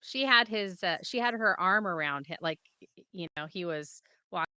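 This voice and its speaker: A woman's voice: lowish voice, normal speed, clear, resonant tone, dry recording. A woman co-hosting a pop culture podcast, chatting casually and reading rumor stories with playful quips. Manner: lively, jokey, reads rumors with quips. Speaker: podcast co-host. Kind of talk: casual chat and reading news.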